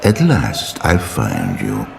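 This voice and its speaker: An actor playing a calm, menacing supernatural visitor in a video game, with a deep gravelly voice and measured, ominous, theatrical delivery. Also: male voice, exaggerated voice. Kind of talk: video game cinematic acting. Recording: long hall reverb, noisy ambience.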